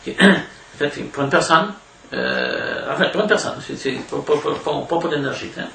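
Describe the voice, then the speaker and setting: A man with a Québécois French accent giving an informal spiritual lecture as a devotional preacher, haltingly, repeating words and adding little question tags. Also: on the high side, gravelly, sharp tone. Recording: echoey room, distant mic, faint noise.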